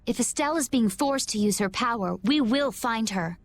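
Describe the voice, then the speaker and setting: A calm, composed warrior. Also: female voice, on the high side, slight background noise. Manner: calm, measured.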